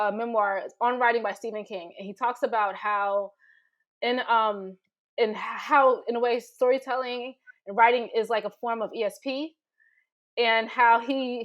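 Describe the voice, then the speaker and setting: High-voiced woman, informal panelist. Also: highs cut off. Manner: animated, halting restarts, many "like"s. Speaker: panelist, storyteller. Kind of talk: informal explanation in a panel discussion.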